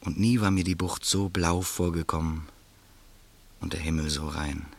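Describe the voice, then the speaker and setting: A man narrating a radio play in first person, calm and reflective. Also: deep voice, normal speed, gravelly.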